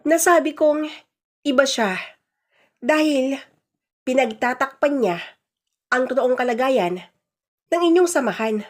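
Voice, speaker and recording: A woman's voice: sits high, expressive, unhurried, long pauses. A woman reading a scripted first-person drama as its storyteller, in the manner of radio storytelling. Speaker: narrator.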